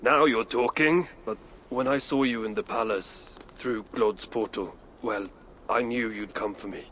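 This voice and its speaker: A gruff old dwarf played by a man in a video game, crude and sardonic, speaking at a middling pitch. He grumbles and banters with wry ill temper, over faint background noise. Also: steady tone, unhurried, a bit bright, lightly acted. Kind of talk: video game cutscene acting.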